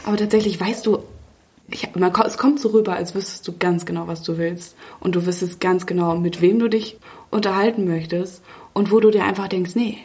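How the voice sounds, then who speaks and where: A woman's voice: fairly low pitch, fairly even intonation, fairly quick, darkish tone. A 26-year-old woman from western Germany chatting casually as a podcast co-host, slangy and fond of acting out dialogue in her anecdotes. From Germany, Münster in western Germany. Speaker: podcast co-host, online editor. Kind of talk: casual chat, animated anecdotes.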